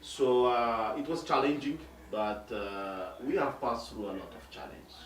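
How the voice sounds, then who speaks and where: A man in his forties from Ethiopia, an airline regional director answering interview questions haltingly with many fillers and restarts. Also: medium pitch. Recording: distant roomy mic with background noise.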